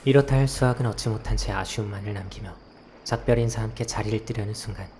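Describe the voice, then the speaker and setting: Male actor as guarded young investigator, low subdued voice, visual-novel horror mystery, night insects clearly audible behind. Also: quick pace, darkish tone, slight background noise, close to an everyday voice. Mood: subdued, pensive. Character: guarded young man investigating eerie rumors. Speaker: narrator and protagonist of a visual novel. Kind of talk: scripted first-person narration and dialogue.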